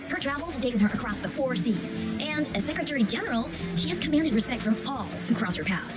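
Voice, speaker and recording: An actress playing an earnest townswoman in a video game, speaking with expressive, reverent admiration. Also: high voice. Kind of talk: video game character dialogue. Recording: game dialogue under loud music.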